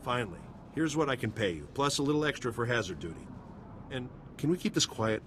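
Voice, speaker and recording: An actor playing a harried, pragmatic security officer in a video game, grumbling in a matter-of-fact way. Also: male voice, mid-range voice, big pitch swings, a little fast, natural voice. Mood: harried, grudging. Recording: background ambient noise.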